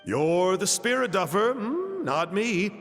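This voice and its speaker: An actor playing an eccentric, pompous scholar and schemer in a video game, wordy and theatrical. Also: male voice, high-pitched, big pitch swings, slow, fairly bright tone, heavily acted. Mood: smugly persuasive. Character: eccentric, pompous male self-styled scholar, smooth schemer. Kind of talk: video game cutscene dialogue. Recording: long reverb, music behind. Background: background music.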